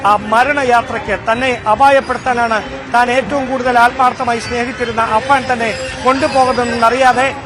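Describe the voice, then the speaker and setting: A man reports live. He talks in long, halting explanations that circle back on themselves, out on a street with crowd and traffic clearly around him. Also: very high-pitched, fairly even intonation, low-quality recording. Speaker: field news reporter. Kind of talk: live news report, unscripted commentary.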